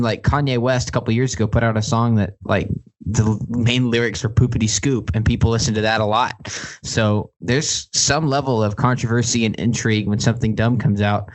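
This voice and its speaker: Measured male podcast co-host, medium pitch. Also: rough recording. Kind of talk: casual opinion discussion.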